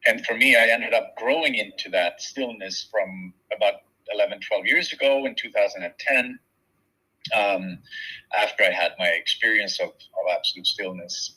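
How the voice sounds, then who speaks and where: A man in his forties from Sweden with a Swedish accent, a shaman and Reiki practitioner talking reflectively as an interview guest, softly and with many pauses. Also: fairly low pitch, husky, rough recording. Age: 40s. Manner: soft-spoken, searching for words, many pauses.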